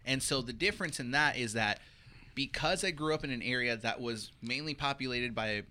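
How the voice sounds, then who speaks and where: A man co-hosting a podcast, talking his way through a personal story with plenty of fillers. His tone is very bright. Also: medium pitch, a little fast. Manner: chatty storyteller, uses fillers. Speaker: podcast co-host. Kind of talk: casual group chat.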